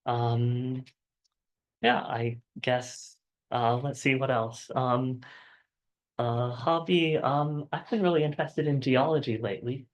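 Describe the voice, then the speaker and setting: A young woman and software engineer introducing herself on a panel, hesitant and full of fillers. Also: a bass voice, steady tone, slow.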